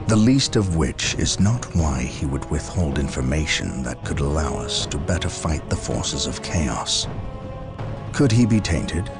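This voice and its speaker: A man's voice: a bass voice, heavily acted. A man playing a stern, zealous space marine captain in a video game, speaking in a grave, formal, commanding manner. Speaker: military commander. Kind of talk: dramatic game cutscene monologue and orders.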